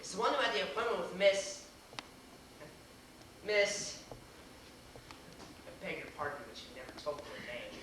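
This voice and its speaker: Flustered, indignant young suitor woman performer, bright voice, halting formal delivery, amateur stage comedy, very echoey distant pickup. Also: medium pitch, big pitch swings, slight background noise. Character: flustered, indignant young man awaiting a blind date. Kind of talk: amateur one-act comedy stage acting. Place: theater stage, recorded from far off.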